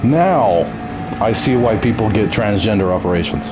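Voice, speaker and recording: A man's voice: fairly low pitch, expressive, normal speed, noisy background. A young man chatting casually as a game streamer, nasal and ill, joking, laughing often and tripping over his words.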